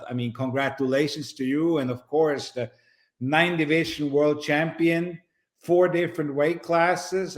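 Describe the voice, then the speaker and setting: A middle-aged man from Switzerland, a boxing promoter being interviewed, speaking in a measured way with frequent pauses. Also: on the high side. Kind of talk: relaxed interview storytelling.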